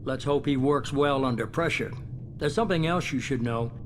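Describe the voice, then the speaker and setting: A man playing a calculating, authoritative spymaster in a video game, speaking in a measured, commanding way. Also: medium pitch, slight background noise, natural voice. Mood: grave, calculating. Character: calculating, authoritative middle-aged male spymaster boss.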